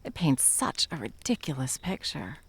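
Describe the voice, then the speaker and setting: A woman's voice: low-pitched, big pitch swings, moderate pace, slightly rough, exaggerated voice. A woman playing a wry, menacing monster hunter who is sadly in love, in intimate ASMR roleplay with a theatrical, sardonic delivery that dips into whispers. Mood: amused disbelief.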